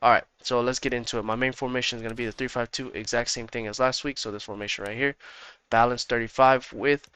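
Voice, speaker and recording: A man hosting a gaming channel, walking viewers through his tactics in a casual, rambling way that repeats itself, his voice slightly hoarse from being sick. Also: mid-range voice, steady tone, fast talker, fairly bright tone, dry recording. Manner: rambling, repeats himself, talks straight to viewers.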